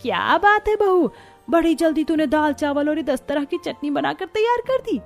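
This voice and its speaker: A woman's voice: high voice, expressive, normal speed, vocal fry, voice pushed up. A woman voicing a stern, demanding middle-aged mother-in-law in a family story, with a commanding, scolding manner. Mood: pleased surprise. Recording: music behind the voice.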